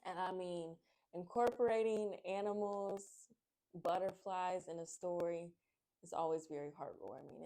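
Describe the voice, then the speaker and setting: A woman hosting a children's read-aloud, speaking in an expressive, excitable, friendly way to young viewers. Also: on the low side, vocal fry, poor audio. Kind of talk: storybook narration and friendly chat to young viewers.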